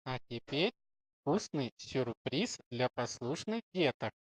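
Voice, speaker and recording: A man voicing a lazy, joking, grumbling father pig in a children's cartoon story, wry and deliberate. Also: medium pitch, animated, no room sound. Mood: playful.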